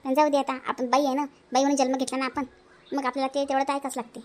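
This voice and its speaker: A woman's voice: sits high, level tone, racing, a little mellow, lightly acted. A woman voicing village women in a family cartoon, bickering in-laws, speaking Marathi with a Varhadi accent. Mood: grumbling.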